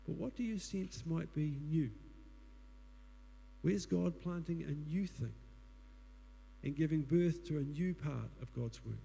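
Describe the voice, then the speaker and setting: A man with a New Zealand accent and a booming voice preaching a sermon, putting earnest questions to the congregation. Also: a little slow, creaky, pauses often, dark tone. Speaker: guest preacher. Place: church hall. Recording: long hall reverb.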